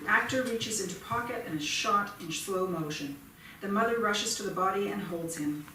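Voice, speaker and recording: A woman's voice: medium pitch, normal speed, bright, forceful tone. A woman giving scripted narration as the stage directions reader at a play reading. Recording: echoey theatre, distant mic, noisy.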